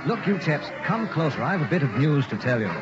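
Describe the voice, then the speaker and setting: An actor playing a jaunty, brash young medical student with a hearty, chummy manner in 1960s radio drama style. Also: male voice, a little high, big pitch swings, fast talker. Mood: chummy, gossipy. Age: young adult. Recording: muffled old radio recording with loud noise.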